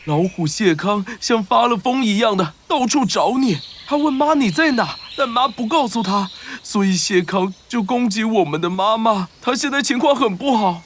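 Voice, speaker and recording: Anxious young wolf played by a man, high-pitched, for a children's storybook cartoon. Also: expressive, normal speed, few pauses, a bit bright. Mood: worried, urgent. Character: Mowgli's young wolf brother, anxious messenger.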